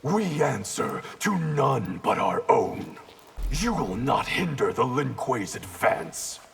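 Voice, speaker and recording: Very gravelly, menacing ninja villain. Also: male voice, medium pitch, very animated, a bit bright, full character voice. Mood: cold menace. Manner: slow, threatening, disdainful. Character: cold, menacing outlaw ninja clan leader. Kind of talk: video game fight banter. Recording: long reverb, clearly noisy background.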